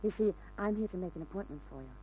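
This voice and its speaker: A man's voice: high-pitched, lively intonation, very fast, mellow, dull audio. A performer playing a cool, self-possessed mystery woman in an old radio crime drama, teasing and in control. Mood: coolly teasing. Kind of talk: 1940s-50s radio drama acting. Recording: noisy old radio recording.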